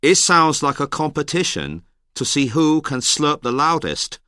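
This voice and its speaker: A man giving a clearly spoken scripted monologue for a language-course listening exercise. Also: a little high, very animated, unhurried, sharp tone, dry recording, clean studio sound, full character voice. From Hong Kong.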